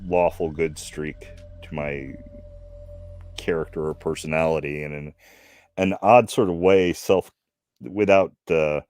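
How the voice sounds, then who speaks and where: Slow, deep-voiced man in his fifties. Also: darkish tone, faint music behind. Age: 50s. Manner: slow, reflective, many fillers and restarts. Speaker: stream host. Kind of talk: casual chat.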